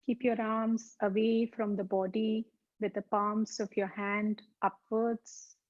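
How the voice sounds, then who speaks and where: A woman teaching yoga as a slow guided meditation, speaking calmly and deliberately with soothing pauses. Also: mid-range voice. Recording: dull, muffled lo-fi sound.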